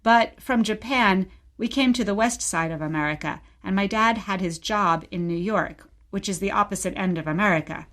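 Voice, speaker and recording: A woman's voice: mid-range voice, normal speed, hardly any pauses, a bit bright, natural voice. A woman playing a wistful mother reminiscing about exile and telling her story simply in a radio play. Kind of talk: short radio play dialogue, bedtime storytelling.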